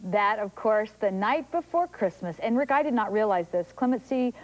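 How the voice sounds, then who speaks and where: A woman's voice: medium pitch, lively intonation, moderate pace, slight background noise. Woman anchoring local morning TV news. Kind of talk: presenting a local morning TV broadcast, chatting with co-anchor. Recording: lo-fi old VHS television recording.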